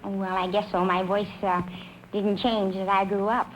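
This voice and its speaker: A woman in her twenties answering a quiz host's questions softly and hesitantly, with many fillers. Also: medium pitch, little pitch movement, moderate pace, low-quality recording. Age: 20s. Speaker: quiz show contestant. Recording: hissy old broadcast recording.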